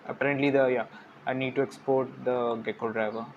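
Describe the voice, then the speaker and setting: Young man, low-key coding tutor, mumbled and monotone, muffled mic with audible hiss. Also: fairly quick. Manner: quiet, low-key, thinking aloud with trailing mumbles. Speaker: programming tutorial host. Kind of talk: casual screen-recorded coding tutorial. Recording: dull lo-fi mic with clear background hiss.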